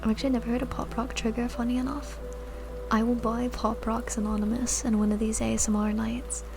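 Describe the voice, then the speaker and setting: A woman streamer whispering soft, giggly ASMR chat to her viewers into a sensitive mic. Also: on the high side, flat delivery, brisk, over music. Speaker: VTuber streamer.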